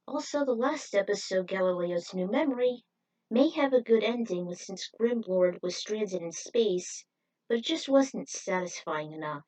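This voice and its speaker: A woman's voice: medium pitch, a little mellow. A woman reading and commenting on her fan fiction as an amateur podfic narrator, with a dramatic, opinionated manner. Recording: amateur recording, uneven mic distance.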